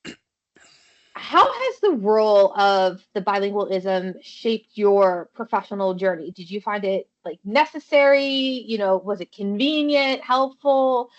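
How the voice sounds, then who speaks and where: A woman's voice: medium pitch, lively intonation, a little slow. A woman hosting a podcast interview, asking questions in a loose, friendly way with many fillers. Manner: friendly, loose phrasing with "you know" and "like". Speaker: podcast host. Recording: dull, lo-fi sound.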